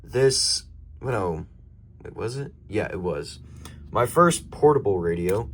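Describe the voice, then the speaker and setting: A young man with an American accent giving a casual show-and-tell, halting and rambling as he corrects himself. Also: lowish voice, lively intonation, a bit bright, breathy edge. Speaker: hobbyist showing a radio collection. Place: indoors at home by a desk.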